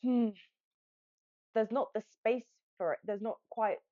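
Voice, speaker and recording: A woman's voice: fairly high pitch, unhurried, no echo, narrow, tinny audio. A young woman with a British accent, talking casually as a guest on a podcast. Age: young adult. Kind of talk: casual conversation. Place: podcast studio.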